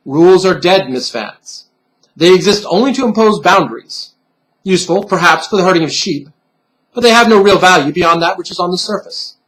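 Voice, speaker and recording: A man's voice: high-pitched, lively intonation, fairly quick, fairly bright tone, poor audio. Man voicing a patronizing, solemn bunny pin mentor. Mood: solemn, admonishing. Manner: formal, lecturing, condescending.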